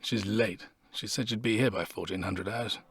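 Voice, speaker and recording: A British actor playing a grumpy, antisocial old care-home resident in an audio drama, grumbling and sardonic. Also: male voice, fairly low pitch, fairly even intonation, moderate pace, fairly bright tone, slightly rough, no room sound. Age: older.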